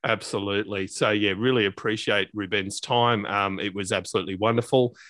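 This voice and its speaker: A man's voice: fairly low pitch, steady tone, brisk, a bit bright, no room sound. A man hosting an interview podcast in an appreciative, effusive conversational manner. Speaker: podcast host.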